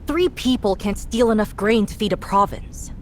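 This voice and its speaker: An actress playing a proud, shrewd master thief in a game cutscene, sardonic and probing. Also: female voice, mid-range voice, normal speed, a little mellow, a little hiss or hum, close to an everyday voice. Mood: challenging. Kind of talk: dramatic video game cutscene acting. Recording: music behind the voice.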